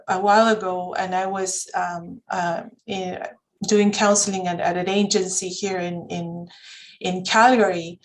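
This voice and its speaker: A woman's voice: mid-range voice, low-quality recording. A woman who speaks Spanish as her first language, talking as a counselor on a panel in a thoughtful way, often searching for words and restarting. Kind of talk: reflective conversational talk. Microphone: video call.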